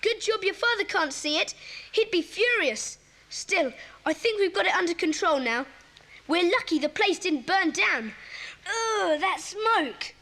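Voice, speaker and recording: A woman playing a bossy, earnest schoolchild who leads a secret club in a children's audio drama, speaking briskly and commandingly. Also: very high-pitched, lively intonation, bright, forceful tone, exaggerated voice. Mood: rueful. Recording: faint background noise, cassette audio drama.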